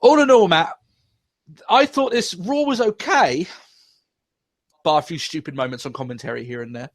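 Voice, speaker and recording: A young man hosts a podcast in loose, rambling chat. He swears freely and laughs often. His voice sits a little high and sounds dry and close to the mic. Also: moderate pace, no echo. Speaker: podcast host. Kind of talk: casual wrestling review chat.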